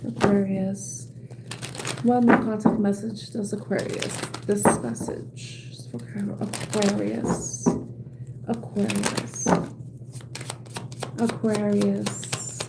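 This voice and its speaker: A woman giving a casual tarot reading, chatty and quick to laugh at herself, often pausing and correcting her words. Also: mid-range voice, creaky, heavy background noise. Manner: chatty, laughs at own jokes, self-correcting. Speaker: tarot reader.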